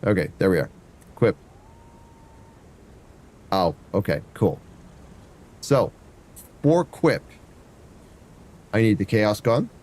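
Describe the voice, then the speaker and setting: A man's voice: fairly low pitch, sing-song, slow, long pauses, a little mellow. A man with a stuffy nose chatting casually and rambling while he walks through a game on a live stream. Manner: rambling, thinks aloud, hums to himself. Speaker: variety streamer. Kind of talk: casual gameplay commentary.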